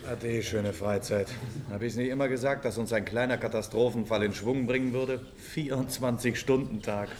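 A man's voice: mid-range voice, fairly even intonation, moderate pace, darkish tone, lightly acted. An actor playing a wry, resigned man talking to a friend in a radio drama. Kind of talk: German radio play dialogue.

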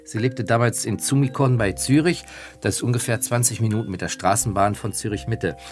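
Deep-voiced man narrating, music behind. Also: brisk, a little mellow. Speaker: author and narrator, former magazine editor-in-chief. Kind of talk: narrated personal reminiscence for a radio feature.

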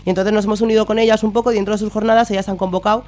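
A woman's voice: medium pitch, little pitch movement, racing, darkish tone. Female radio interviewee, accent from Spain, colloquial and somewhat hesitant, an activist explaining things informally. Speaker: activist guest, member of a citizens' assembly.

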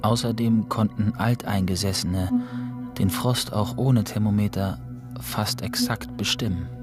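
A man's voice: on the low side, steady tone, a little slow, lightly acted. A man narrating a literary radio drama in a scripted style. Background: prominent music.

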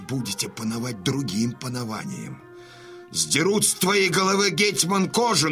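A man's voice: high-pitched, pushed and bright, exaggerated voice. A man playing a defiant old Cossack colonel, grave and oratorical, giving commanding speech in an audio drama. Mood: bitter, prophetic. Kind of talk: Russian audio drama acting.

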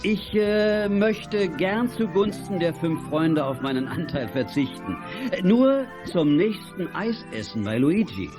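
An actor playing a friendly, calm father who helps child detectives by CB radio in a children's audio drama. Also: on the high side, takes it slowly, few pauses, music in the background. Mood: relaxed, friendly. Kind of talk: 1980s German children's audio drama acting.

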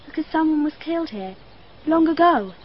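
A woman's voice: high-pitched, animated, fairly quick. An actress playing a precocious, dreamy twelve-year-old girl who speaks in an earnest, matter-of-fact and slightly odd way. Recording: dull lo-fi archive radio, faint hiss.

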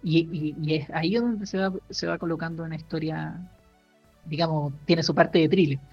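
A man's voice: fairly high pitch, fairly even intonation, darkish tone, soft background music. Rambling man with dull podcast audio. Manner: halting, many fillers and restarts while explaining. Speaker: podcast co-host. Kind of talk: casual chat recommending a book.

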